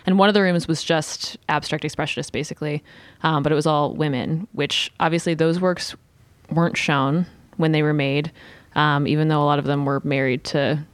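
A woman's voice: low voice, steady tone, moderate pace, no room sound. Casual woman on a podcast, dry sound. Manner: informal, frequent "like". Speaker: podcast panelist. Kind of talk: casual chat about art.

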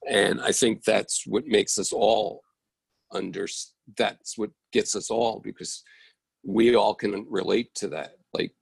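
A man with a heavily creaky, fairly low voice offers feedback in a reflective conversation. He sounds husky and close, earnest as he searches for the right words. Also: fairly even intonation, slow pace, no echo. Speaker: audience member giving feedback on a play. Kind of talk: casual, reflective discussion.

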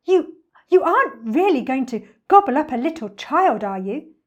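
A woman voicing a chatty, excitable male monkey in a children's storybook, with animated, emphatic delivery. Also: high voice, moderate pace, muffled recording, voice pushed up. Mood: appalled. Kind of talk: dramatic children's storybook reading.

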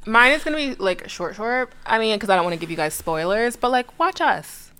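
A woman's voice: a little high, animated, fairly quick, heavy background noise. A woman in casual chat with a friend, talking bluntly and emphatically and swearing freely.

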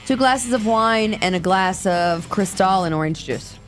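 A woman's voice: medium pitch, animated, unhurried. Woman chatting on radio over music. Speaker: radio co-host. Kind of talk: casual chat, anecdotes.

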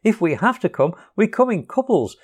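A man's voice: sits high, sing-song, normal speed, breathy edge, voice pushed up, full character voice. A man voicing a hearty, kindly storybook rat. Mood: reassuring. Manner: hearty, reassuring. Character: brave kindly male water rat.

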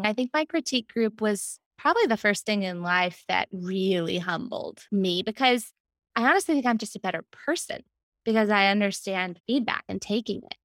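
A woman talks as a guest in a casual interview, with a medium-pitched voice. She explains things reflectively and at some length, and the sound is dry with little room around it. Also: fairly bright tone, no echo. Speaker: podcast guest, book editor.

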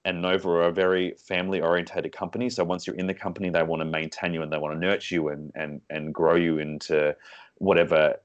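An Australian man with a hoarse voice from being sick, explaining things conversationally as an interview guest, often repeating words as he goes. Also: low voice, level tone, quick pace, highs cut off.

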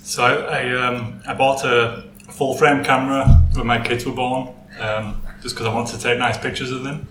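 A man telling his story haltingly, often repeating words. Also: medium pitch, level tone, noisy background. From West Yorkshire, England. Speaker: astrophotographer guest. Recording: roomy with faint ambience.

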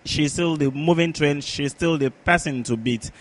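Halting male sports podcast pundit. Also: fairly high pitch. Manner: halting, repetitive, searching for words. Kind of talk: conversational sports analysis.

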